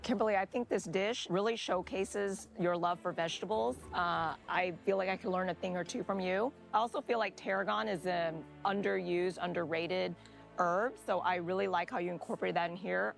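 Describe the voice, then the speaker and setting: A woman judging a dish, giving measured praise. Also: lowish voice, gravelly, faint music behind. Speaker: cooking show judge. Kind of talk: judging remarks.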